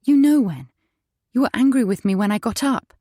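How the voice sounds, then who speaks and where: A woman's voice: fairly high pitch, lively intonation, a little fast, darkish tone, breathy edge, no echo, voice pushed up. A woman voicing an anxious young wife in an audiobook, timid and shaky, close to tears. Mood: defiant. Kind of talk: audiobook character voice.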